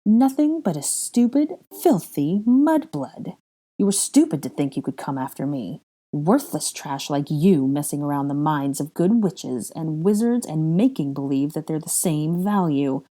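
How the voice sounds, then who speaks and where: A woman's voice: deep voice, lively intonation, normal speed, warm tone. A woman voicing a cruel, gloating villainess witch in an audiobook story, with taunting, sneering delivery. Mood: contemptuous, angry.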